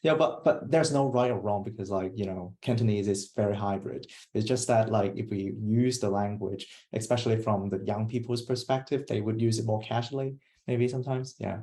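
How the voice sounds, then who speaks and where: A man with a low, warm voice explains his thinking to an online roundtable. He talks loosely, with plenty of fillers. The sound comes through a video call. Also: normal speed, hardly any pauses. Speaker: roundtable speaker. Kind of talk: online panel discussion.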